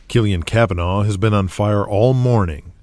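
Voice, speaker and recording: A man narrating an audio drama in a scripted, steady storytelling manner. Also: on the low side, normal speed, no room sound.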